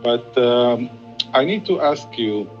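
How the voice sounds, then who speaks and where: Halting, slow-talking male interviewer. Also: medium pitch, lively intonation, muffled recording, faint music behind. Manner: halting, repeats words as he restarts. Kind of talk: casual interview questions.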